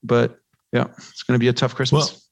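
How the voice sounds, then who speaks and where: A man's voice: medium pitch, little pitch movement, moderate pace, gravelly, dry recording. A man giving conversational financial commentary as an interview guest, talking discursively and posing rhetorical questions. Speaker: podcast guest, investment research analyst.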